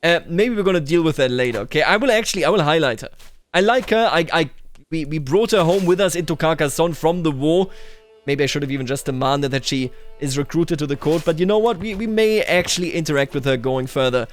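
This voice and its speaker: A man's voice: on the high side, a little fast, faint music behind. A man doing roleplay commentary over a strategy game, addressing its characters and himself with theatrical declarations. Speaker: gaming YouTuber.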